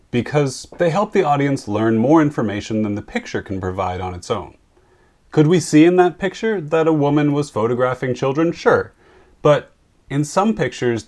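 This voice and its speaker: A man who works as a photographer reads a scripted lesson on writing captions, explaining each point clearly and at a measured pace. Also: mid-range voice, a little mellow. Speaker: photographer and presenter.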